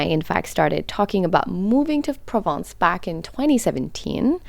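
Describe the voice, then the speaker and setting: A woman hosting a podcast speaks in a gravelly, creaky voice with a dry, close sound. She talks in an expressive, playful way and drops into dramatic whispers for effect. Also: fairly low pitch, dry recording. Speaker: podcast host. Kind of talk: podcast intro and interview.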